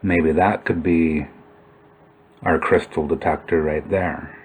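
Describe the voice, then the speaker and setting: Male narrator, deep pitch, informal explainer commentary, musing, thinking aloud. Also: fairly even intonation, slow pace, slight background noise. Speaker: video narrator. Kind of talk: informal explanatory commentary over old photos.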